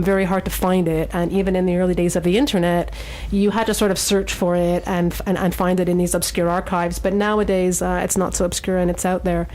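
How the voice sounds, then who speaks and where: A woman's voice: lowish voice, a little mellow. Woman hosting a casual radio chat. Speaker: radio show host.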